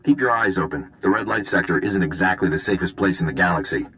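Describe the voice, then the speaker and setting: An actor playing a wry, unruffled intelligence agent in a video game, speaking conversationally. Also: male voice, low voice, fast, sharp tone, slight background noise. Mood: measured, conversational.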